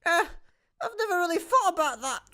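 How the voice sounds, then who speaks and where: A Scottish woman playing a cheeky, deadpan petrol station attendant in a sci-fi comedy radio play, dry and sarcastic. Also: very high-pitched, fairly quick, exaggerated voice. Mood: chatty, dry. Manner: dry, self-aware, sarcastic.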